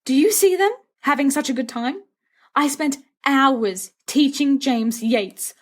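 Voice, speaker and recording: A woman's voice: high-pitched, voice pushed up. A woman voicing a sensible teenage schoolboy, earnest and exasperated as he reasons, in a storybook reading.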